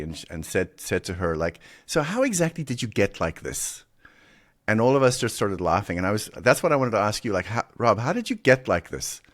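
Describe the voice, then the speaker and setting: A South African man with a partly American accent hosting a podcast interview, animated and quick to laugh, often restarting sentences. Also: low voice. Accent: South African with American influence. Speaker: podcast host. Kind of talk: casual interview chat.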